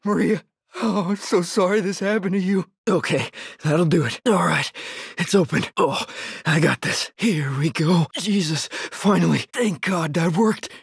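An actor playing a frightened but determined young male survivor in a horror video game, with breathy, urgent delivery. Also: high-pitched, a little slow, dry recording, studio quality, full character voice. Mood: relieved, subdued. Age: young adult. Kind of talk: dramatic game voice lines.

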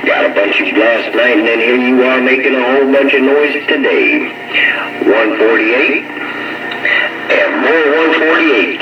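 Man, CB radio operator, folksy slang, casual chat, echoey dull transmission, music behind. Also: medium pitch. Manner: folksy, repeats catchphrases. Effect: voice heard over CB radio.

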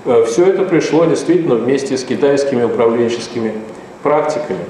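A middle-aged man speaks from a podium in a large conference hall, his delivery measured and broken by hesitant pauses. His tone is mellow, and the sound carries a heavy hall echo with faint noise behind it. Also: mid-range voice. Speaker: speaker at a round table. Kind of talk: formal lecture at a podium. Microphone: podium microphone.

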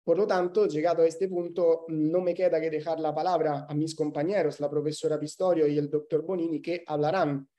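A man in his thirties with an Italian accent, giving an academic lecture in a second language over a video call, measured and with small restarts. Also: fairly high pitch, fairly even intonation, dark tone. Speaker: law lecturer. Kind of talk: academic webinar talk. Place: indoor room at home, video call. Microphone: webcam on video call.

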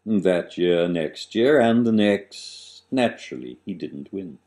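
A man in his sixties, an author reciting his own satirical rhyming verse with dramatic, deliberate emphasis and sudden swells and drops. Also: sits low, expressive, a little mellow. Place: small living room, on a sofa, indoors.

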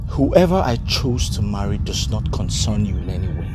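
An actor playing a hot-headed young man standing up to his family in a film drama, with a Nigerian accent and a forceful, confrontational delivery. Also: a little high, very animated, normal speed, very noisy. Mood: fed up. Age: young adult. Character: defiant, hot-headed young nephew defending his wife.

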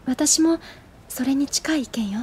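An actress playing a composed teenage schoolgirl in an anime audio drama, soft-spoken, polite and detached. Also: sits high, measured pace. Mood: cool, detached.

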